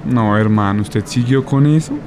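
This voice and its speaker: A man's voice: mid-range voice, normal speed, a little mellow, a little hiss or hum, natural voice. A man playing a skeptical, teasing young friend in a radio soap, blunt and slangy with a Bogotá accent. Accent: Colombian, Bogotá. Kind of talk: amateur radio soap opera acting.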